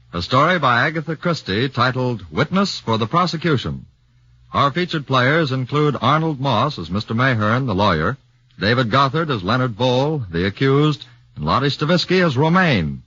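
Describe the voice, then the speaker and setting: A man's voice: medium pitch, lively intonation, moderate pace, pushed and bright, breathy edge, no room sound. A man announcing a radio drama in a formal, stately manner. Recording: dull 1940s radio broadcast.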